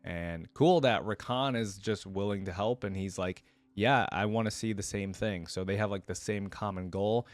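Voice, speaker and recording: A man hosting a reaction video, reading story lore aloud and breaking off to think out loud in casual commentary. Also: on the low side, moderate pace, dry recording. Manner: casual, thinking aloud between read passages.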